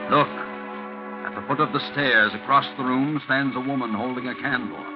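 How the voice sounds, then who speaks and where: An actor playing a sinister all-knowing storyteller in a 1940s radio thriller, with suspenseful, clipped phrasing. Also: male voice, mid-range voice, normal speed, lightly acted. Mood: taunting, urgent. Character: sinister male omniscient storyteller addressing characters. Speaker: narrator. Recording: muffled 1940s radio recording with music.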